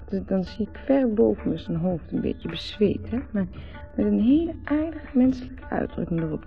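A giggly, slurring Dutch wife rambles flirtatiously and repeats herself, tipsy at a party. Her voice has a creaky edge. It is a 1970s radio play with a dull sound and faint music behind her. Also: medium pitch. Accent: Netherlands Dutch. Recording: dull vintage radio recording.

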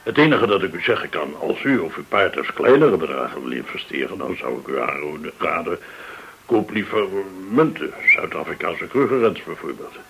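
Genial, swooping-voiced gold dealer. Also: male voice, low voice, very animated, gravelly, a bit bright, slightly rough, full character voice. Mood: smooth, explanatory. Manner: effusively polite, theatrical, measured. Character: genial, courteous, smooth-talking male gold dealer, shrewd host. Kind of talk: radio drama acting. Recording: hissy old radio drama recording.

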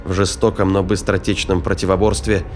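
Low-voiced man narrating gravely. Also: flat delivery, brisk, a little mellow. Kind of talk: scripted dramatic narration of sci-fi thriller. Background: faint background music.

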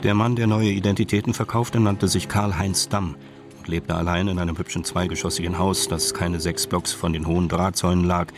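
An actor as the detached narrator of a radio crime drama, telling it in a measured, matter-of-fact way. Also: male voice, low-pitched, steady tone, music in the background. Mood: detached narration. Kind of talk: scripted crime story narration.

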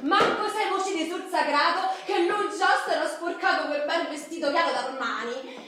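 An actress playing a frustrated, naive and dreamy working-class Roman wife in a radio play, rambling colloquially with a Roman accent. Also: female voice, very high voice, normal speed, pushed and bright. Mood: exasperated. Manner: colloquial, rambling, tags phrases with "no?" and "eh". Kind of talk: theatrical radio drama monologue in dialect. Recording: roomy, echoing, distant mic, faint noise.

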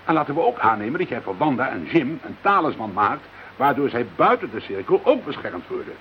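A man's voice: medium pitch, big pitch swings, a little fast, close to an everyday voice. An actor playing a polite, sceptical man in a radio play, reasoning things out hesitantly. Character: sceptical, polite, hesitant investigator named Joop. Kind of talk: Dutch radio play acting. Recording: dull, slightly hissy radio drama recording.

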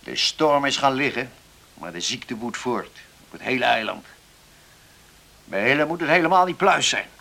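A husky, gravelly seaman in a radio play, blunt and stubborn yet good-hearted. His voice has a forceful edge, and he talks in plain, gruff phrases. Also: mid-range voice, expressive, moderate pace, full character voice. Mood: weary, grave. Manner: gruff, hesitant, plain-spoken. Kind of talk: radio drama dialogue.

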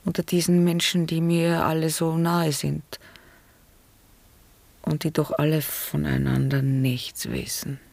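An actress playing a weary, reflective middle-aged married woman in a German radio drama, with a breathy, hushed and grave delivery. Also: sits low, level tone, a little slow, dry recording, exaggerated voice. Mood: troubled. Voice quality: husky, breathy. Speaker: actor.

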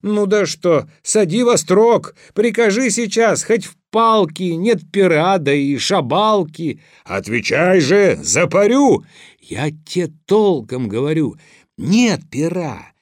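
A man voicing a simple, stubborn young peasant lad in a verse fairy tale, folksy and quick to protest or plead. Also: sits high, lively intonation, dry recording, clean studio sound, pitched above the performer's own voice. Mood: cheeky.

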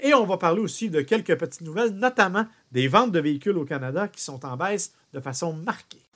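A man hosting a car radio show, talking casually and often pausing on "euh" and repeated words. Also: high-pitched, expressive, a bit bright. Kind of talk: car review radio talk.

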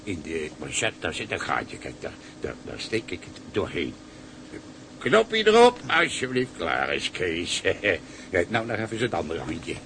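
An actor playing a cheery, coaxing ship's cook and fixer in a radio drama, talking colloquially. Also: male voice, low voice, wildly swooping pitch, a little slow, a bit bright, a little hiss or hum, heavily acted. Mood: coaxing, fussing.

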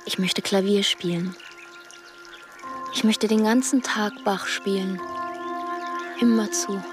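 A woman's voice: medium pitch, fairly even intonation, takes it slowly, over music, lightly acted. An actress playing a wistful but determined young refugee girl in a radio play, with a simple, childlike manner. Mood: wistful, eager. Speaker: actor in a radio drama.

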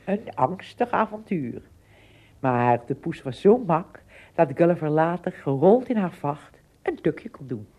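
A woman narrating an adventure tale in a radio play, with expressive, dramatic phrasing and a husky, breathy voice. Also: sits low, unhurried, muffled recording, exaggerated voice. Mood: fond storytelling. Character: female storyteller of an adventure tale.

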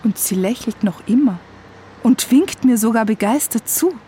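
A woman's voice: fairly high pitch, lively intonation, normal speed, warm tone, slight background noise. A woman narrating in the first person, reading scripted literary prose in a reflective way. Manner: reflective, literary present-tense storytelling. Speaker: narrator and author.

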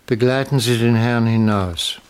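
An actor as a male crime boss in a radio drama. He has a mid-pitched, polished, resonant voice and speaks in curt, formal commands with imperious refinement. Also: steady tone, unhurried, clear, resonant tone, lightly acted. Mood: cold command.

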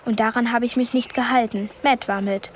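A woman's voice: a little high, fairly even intonation, brisk, slight background noise, natural voice. An actress playing an earnest, curious twelve-year-old girl in a radio play, a little hesitant in manner. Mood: pensive.

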